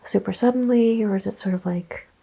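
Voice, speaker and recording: A woman speaks softly and gently as a chiropractor in an ASMR roleplay, often dropping to a whisper. Her voice is creaky and mellow, with a breathy edge. She asks and explains in short, unhurried phrases. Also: mid-range voice, rough recording. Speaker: ASMR host playing a chiropractor. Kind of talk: ASMR roleplay, soft-spoken exam.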